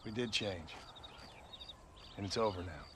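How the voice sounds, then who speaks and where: A man playing a rugged, weary frontier rancher and stern father in a video game, gruff and plain-spoken with an American Western drawl. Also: sits low, a little slow, bright, forceful tone. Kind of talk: video game cutscene acting. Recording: clear outdoor noise behind.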